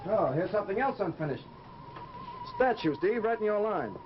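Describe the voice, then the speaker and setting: A man's voice: sits high, animated, normal speed, over music. An actor playing a cautious, fussy, sardonic man with a dry, chiding manner in an old-time radio drama. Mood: observant. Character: cautious, fussy, sardonic male travel companion. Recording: muffled, faintly noisy old radio recording.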